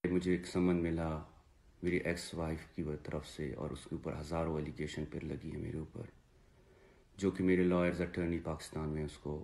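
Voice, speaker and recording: A man in his thirties with a Pakistani accent, giving an earnest, halting personal statement to camera and repeating phrases for emphasis. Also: low-pitched, dark tone. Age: 30s. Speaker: father making a personal video appeal. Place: small indoor room, seated before a plain wall.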